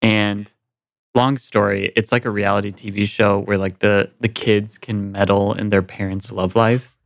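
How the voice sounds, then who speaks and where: A man's voice: fairly low pitch, level tone, a little slow, creaky. A man co-hosting a podcast in a casual group conversation. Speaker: podcast co-host.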